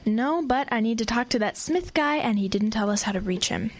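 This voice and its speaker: A woman playing a bold, practical teenage girl in a radio drama, with a brisk, dry, matter-of-fact delivery. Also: a little high, animated. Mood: determined. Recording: clear background noise.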